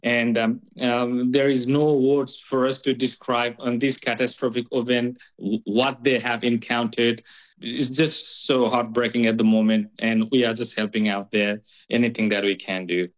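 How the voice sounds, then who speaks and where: Halting man from Nepal, flat delivery. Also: medium pitch, low-quality recording. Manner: halting, searching for words. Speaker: community member interviewed. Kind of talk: emotional interview answers.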